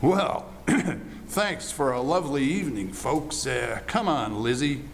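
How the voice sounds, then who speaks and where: Older man playing hesitant neighbor father, slow delivery, creaky voice, wry, old-time radio acting. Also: medium pitch, slight background noise. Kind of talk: 1940s radio play acting. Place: live stage performance.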